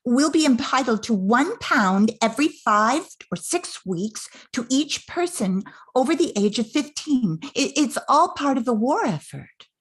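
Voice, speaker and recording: A woman playing a smart, kind teenage daughter in an amateur 1940s radio play, earnest and explanatory with a husky voice. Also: sits high, expressive, measured pace, hardly any pauses, full character voice, rough recording. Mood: earnest, reasoning.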